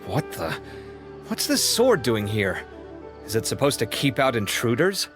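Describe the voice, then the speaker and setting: A chatty, expressive young man. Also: mid-range voice, unhurried. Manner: lively, chatty and a little flustered. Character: young man, expressive and fussy, a talkative storyteller. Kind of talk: video game voice acting. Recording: reverberant game scene with music.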